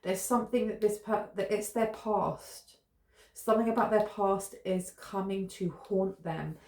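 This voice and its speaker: A woman's voice: medium pitch, steady tone, unhurried, a little mellow. A British woman giving a casual tarot reading to camera, thinking aloud in a meandering, musing way with fillers. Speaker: tarot reader. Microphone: camera close in front. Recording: echoey room.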